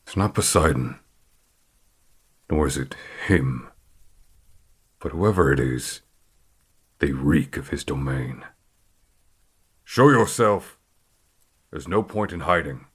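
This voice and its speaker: A man plays a gruff harvest god in a fantasy audio roleplay. His voice is very deep and slow, husky, with a blunt, wary grumble. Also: lively intonation, exaggerated voice. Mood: suspicious. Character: gruff, suspicious male harvest god, easily disgusted.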